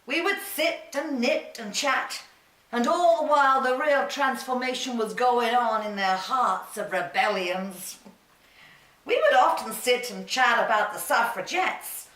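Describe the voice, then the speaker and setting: A spirited older suffragist, played by a woman, looks back on her fight in an unhurried, chatty monologue. Her voice sits high and she laughs easily between thoughts. The sound is roomy and heard from some distance off the mic. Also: fairly bright tone. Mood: reminiscing. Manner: chatty, reminiscing, laughs often. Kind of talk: theatrical monologue in character.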